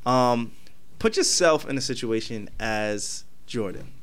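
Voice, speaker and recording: A man's voice: mid-range voice, expressive, slow pace, a bit bright, clear, resonant tone. Easygoing man hosting a podcast. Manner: steers the talk, affirming and joking. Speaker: podcast host. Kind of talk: casual chat.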